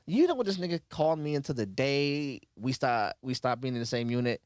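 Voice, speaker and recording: A man's voice: mid-range voice, animated, brisk, darkish tone, smooth, dry recording, clean studio sound. A man hosting a casual podcast, telling stories with slang and frequent laughter. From Cleveland, USA. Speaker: podcast host.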